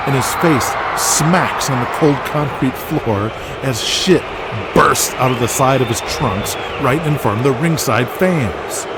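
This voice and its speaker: A man's voice: medium pitch, very noisy. A man narrating a scripted audio drama as a dramatic storyteller. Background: music.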